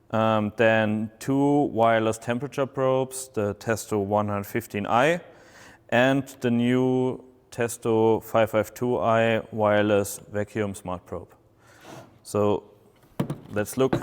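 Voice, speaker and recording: A man with German as his first language, presenting a product demonstration slowly and haltingly, with many filler sounds. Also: fairly low pitch, smooth, long reverb tail.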